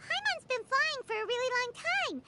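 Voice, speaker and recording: A woman voicing a small, childlike, self-important flying fairy companion in a video game, squeaky and exclaiming. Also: expressive, normal speed, very noisy. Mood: touched, worried. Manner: refers to self in third person, exclaiming. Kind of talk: scripted video game dialogue. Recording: music behind the voice.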